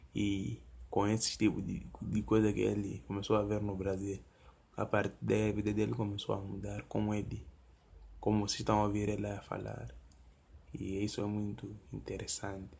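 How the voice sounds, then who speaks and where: A man with a Mozambican Portuguese accent comments casually on a clip, recapping plainly what was said. His voice is low, gravelly and husky, with a flat, level delivery. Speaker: reaction youtuber.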